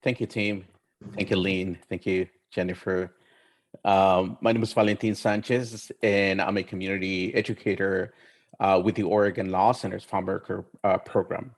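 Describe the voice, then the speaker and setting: A young man from Oaxaca, Mexico, whose first language is Mixtec, giving an earnest, measured talk on a roundtable panel with frequent pauses. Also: deep voice, little pitch movement, no echo. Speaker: community educator and roundtable panelist. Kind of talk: roundtable presentation.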